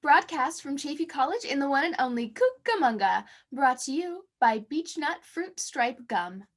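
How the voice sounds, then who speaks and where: A woman's voice: high voice, very animated, normal speed. A woman co-hosting a radio variety show, theatrical and playful, in scripted 1940s announcing style.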